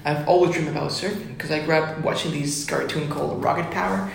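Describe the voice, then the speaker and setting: A young man from Ecuador, a musician guest chatting casually on a podcast, excited and saying "dude" often. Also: a little high, brisk, gravelly, crowd noise. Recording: very echoey room.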